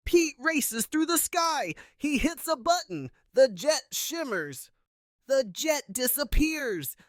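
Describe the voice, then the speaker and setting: High-pitched, unhurried woman storyteller. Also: no room sound, clean studio sound. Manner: simple, expressive read-aloud delivery. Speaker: read-aloud storyteller. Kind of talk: children's picture book narration.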